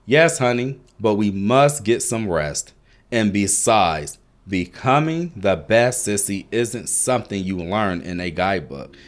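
A man's voice: on the low side, lively intonation, unhurried. Man reading as a soothing, loving mom. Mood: tender. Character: gentle loving mother.

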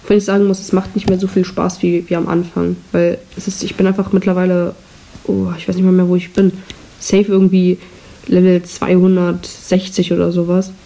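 A woman's voice: on the low side, monotone, fairly quick, gravelly, dark tone. Teenage girl rambling into a hissy mic. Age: teens. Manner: rambling, self-deprecating, frequent restarts. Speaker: podcast host. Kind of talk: casual solo chat. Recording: noisy cheap microphone with hiss.

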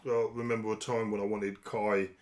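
A man, in a candid, earnest conversation, getting firm and louder when he presses a point. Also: level tone, normal speed. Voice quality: deep voice.

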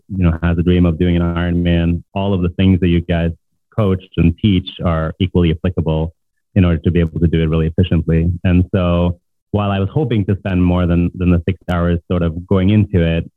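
Low monotone norwegian guy, middle-aged, chatty with lots of uh's, dry tinny phone-quality audio. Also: no echo. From Norway. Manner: easygoing, talkative, with frequent fillers. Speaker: podcast guest. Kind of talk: casual interview chat. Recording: tinny phone-like remote line.

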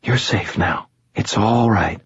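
A man's voice: mid-range voice, big pitch swings, moderate pace, breathy edge, voice pushed up. A man voicing a protective, worried young woman in an audiobook, earnest and soothing in manner. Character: protective, worried young woman comforting her injured friend. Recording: dull, roomy recording.

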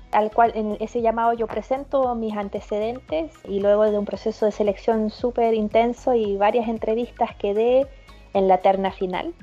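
A woman born in Chile and raised in Germany speaks in a calm, measured way, with a mellow tone that sits a little high. Her recording sounds slightly dull and lo-fi. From Chile, raised in northern Germany. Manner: measured and composed, with small restarts. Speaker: guest, regional trade representative. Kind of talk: interview answers.

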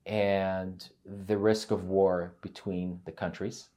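A man's voice: sits low, little pitch movement, slow pace, dark tone, a little hiss or hum. A middle-aged man, a native Hebrew speaker from Israel, talking casually as an interview guest with many fillers and restarts.

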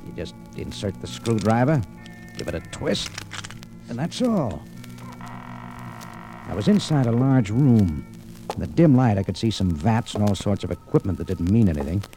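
An actor playing a tough, wry American adventurer in an old-time radio detective drama, speaking in clipped hard-boiled lines. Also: male voice, on the low side, warm tone, low-quality recording. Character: tough, wry, unflappable American adventurer telling his tale. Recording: vintage radio recording, faint music.

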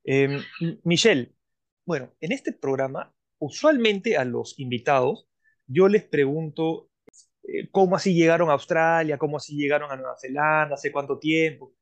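A man hosting an interview program, speaking in a measured way with drawn-out phrases and frequent fillers. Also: a little high, lo-fi sound. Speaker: host.

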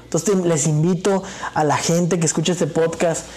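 A young man speaks as a podcast guest. His voice sits a little high for a man. He talks in a loose, chatty way and keeps filling his pauses. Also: steady tone, a little mellow. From Mexico. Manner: chatty, many fillers like "este" and "vaya". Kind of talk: casual conversation.

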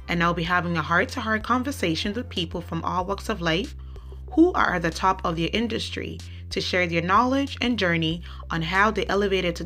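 A woman hosting a podcast, her voice bright and lower than most. She reads her introduction in a formal, measured way that sounds scripted. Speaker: podcast host. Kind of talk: read-out podcast intro and interview questions.

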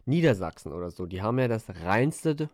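A man's voice: fairly low pitch, big pitch swings, fairly quick, darkish tone, no echo, studio quality. A man co-hosting a podcast in casual chat, rambling and hesitant, often circling back with fillers. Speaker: podcast co-host.

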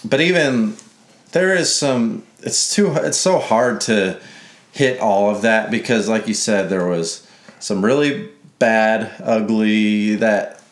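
A man's voice: on the low side, slow. A young man from Mississippi co-hosting a casual sports podcast, rambling and correcting himself with a playful streak. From Mississippi, United States. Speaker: podcast co-host. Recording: echoey room.

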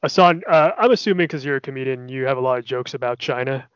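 Deadpan podcast host man. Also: mid-range voice, fast, clean tone, dry recording, highs cut off. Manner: deadpan, asks provocative questions. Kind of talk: casual podcast hosting.

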